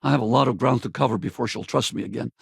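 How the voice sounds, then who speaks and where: A man playing a middle-aged, weary but loyal spaceship crewman and ex-soldier in a video game, plain-spoken and wry, with a gravelly, husky voice. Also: mid-range voice, very fast, dry recording, clean studio sound, full character voice. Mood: earnest. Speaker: video game companion character. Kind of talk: naturalistic video game dialogue.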